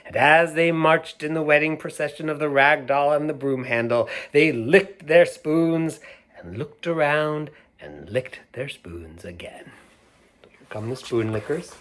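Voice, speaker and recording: A middle-aged man reading a picture book aloud to children, gentle and unhurried, stopping to show the pictures. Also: on the high side. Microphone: camera mic, moving toward it to show pages.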